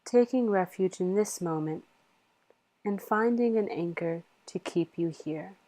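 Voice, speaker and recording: A woman leading a guided meditation in a soothing, measured manner with long pauses. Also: on the low side, dark tone.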